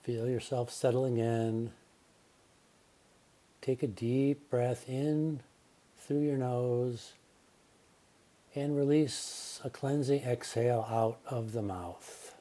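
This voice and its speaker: A man guiding a relaxation meditation as a yoga nidra teacher, speaking calmly and gently with unhurried pauses. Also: medium pitch.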